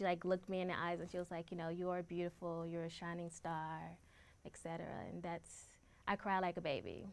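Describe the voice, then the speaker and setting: A woman's voice: lowish voice, smooth. A woman in her twenties telling a personal story in a documentary interview, candid and casual. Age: 20s.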